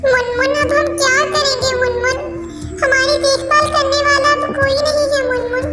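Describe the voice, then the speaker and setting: Forlorn baby bird sister for a cartoon; piping, plaintive, drenched in reverb. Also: female voice, extremely high pitch, little pitch movement, moderate pace. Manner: plaintive, repetitive pleading. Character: small orphaned girl bird, pleading and forlorn. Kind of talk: Hindi children's cartoon dubbing. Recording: very echoey, loud music underneath.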